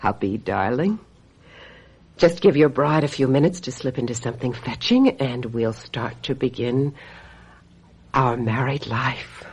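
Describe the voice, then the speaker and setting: An actress playing a vengeful, scheming woman who calls herself a witch, with deliberate, mock-sweet menace in an old-time radio mystery style. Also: a bass voice, a little mellow, husky, full character voice.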